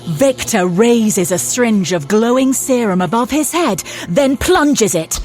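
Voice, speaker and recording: A woman's voice: a little high, lively intonation, unhurried, darkish tone, soft background music. Female narrator, dramatic scripted storytelling.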